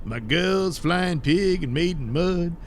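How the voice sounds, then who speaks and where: Man as a high-pitched, animated comic-book character. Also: takes it slowly, a little hiss or hum. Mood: theatrical, excited. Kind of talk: comic superhero audio serial acting.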